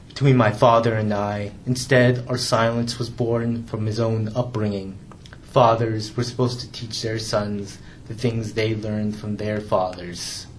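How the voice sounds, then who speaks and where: A young man reading aloud from his own memoir, in a measured, restrained way with the odd stumble. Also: mid-range voice, a little mellow, a little hiss or hum, poor audio. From Canada.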